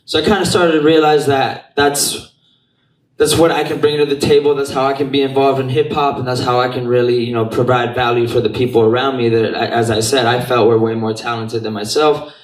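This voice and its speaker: A man from Puerto Rico tells an event crowd about his marketing business in a casual, slangy, profane way. His mid-range voice is picked up from some distance, so it sounds set back. The room rings with a clear echo. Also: medium pitch, little pitch movement, brisk. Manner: loose and profane, street slang. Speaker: marketing business owner giving a talk. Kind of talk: casual talk to an audience. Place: event venue.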